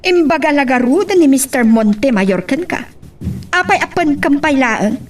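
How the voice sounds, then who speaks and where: A woman's voice: sits high, animated, normal speed, far from the mic. A woman acting in an Ilocano radio drama as a suspicious, questioning wife. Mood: probing.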